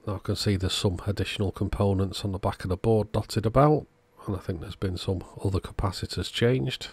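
A man's voice: on the low side, fairly even intonation, fairly quick, darkish tone, husky, dry recording. A man narrating a repair job in a casual, matter-of-fact, understated way. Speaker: host of electronics repair channel.

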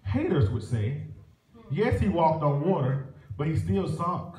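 A man's voice: fairly high pitch, sing-song, measured pace, darkish tone. A young man with a Southern US accent, preaching a sermon and leading prayer in a church sanctuary with rhythmic call-and-response delivery. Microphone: stand mic at pulpit, filmed by far camera. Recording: echoey church from far off. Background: organ music.

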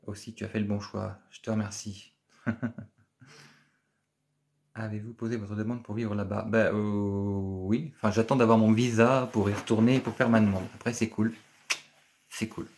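A man, talking straight into a phone held at arm's length in a small office. His voice sits a bit low with a gravelly edge. He reads viewer comments aloud and answers them in a relaxed, rambling way, repeating words and pausing on fillers. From France. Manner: chatty, repeats himself, many filler pauses. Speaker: live stream host. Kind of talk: casual live-stream chat, reading and answering viewer comments. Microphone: phone camera at arm's length.

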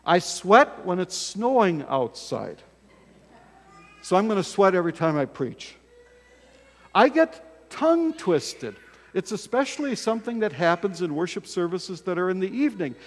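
A man's voice: high-pitched, big pitch swings, normal speed. An older male pastor preaching a folksy, self-deprecating sermon from the pulpit of a church sanctuary, filmed by a camera across the room. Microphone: fixed camera from across the church. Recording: long church reverb.

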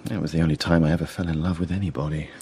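An actor in a radio play as a gentle, wistful English brother who speaks in a mild, hesitant stammer. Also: male voice, a bass voice, steady tone, racing, slight background noise. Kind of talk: radio drama acting.

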